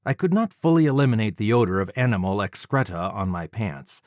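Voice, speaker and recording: A man narrating an audiobook from a script in a measured, formal way. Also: on the low side, animated, a little mellow, no room sound, studio quality. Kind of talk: scripted first-person narration.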